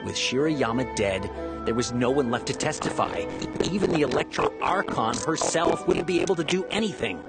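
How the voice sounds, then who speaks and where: A gossipy game policeman in a medium-pitched voice. He is cynical and emphatic, utterly sure of his theories, over clear background music. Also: expressive, normal speed. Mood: insistent, cynical. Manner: emphatic, speculative. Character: opinionated male police officer, cynical, gossipy, sure of himself. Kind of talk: video game voice acting.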